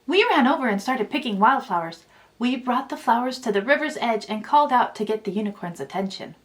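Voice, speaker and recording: Female children's storyteller: higher-pitched, highly animated, expressive read-aloud delivery. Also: brisk. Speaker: children's author reading own book aloud.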